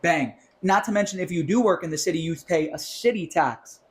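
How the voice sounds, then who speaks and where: A man's voice: fairly high pitch, fairly quick. A young man from New York on a casual podcast, ranting animatedly about money and swearing often. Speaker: podcast co-host.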